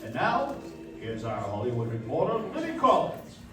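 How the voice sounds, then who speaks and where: A man's voice: mid-range voice, wildly swooping pitch, moderate pace, over music. A man playing a polished, genial 1940s radio announcer in a live stage radio play, with formal, projected delivery. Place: live stage performance in a dance hall. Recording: echoey hall, distant mic, live stage.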